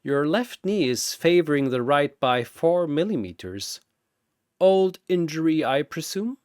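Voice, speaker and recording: A man's voice: fairly high pitch, expressive, measured pace, darkish tone, no room sound, studio quality. Man voicing a smug, clinical mad scientist. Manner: precise, smug, analytical. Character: coldly fascinated, clinical male mad scientist.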